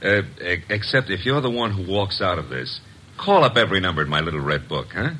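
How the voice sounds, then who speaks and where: An actor playing a brash, wisecracking assistant detective in a 1950s radio drama. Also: male voice, low-pitched, big pitch swings, brisk, pushed and bright, breathy edge, exaggerated voice. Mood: tough, wisecracking.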